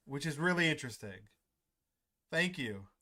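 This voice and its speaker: A man hosting a gaming video, reading game dialogue aloud and musing between lines in a halting, casual way. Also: fairly high pitch, lively intonation, pushed and bright. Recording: clear background noise under the voice.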